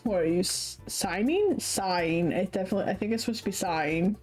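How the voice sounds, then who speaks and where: A woman streamer chatting casually while playing a game, laughing often and filling pauses with "like". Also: mid-range voice, darkish tone, breathy edge, soft background music. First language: English. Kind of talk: casual gameplay commentary.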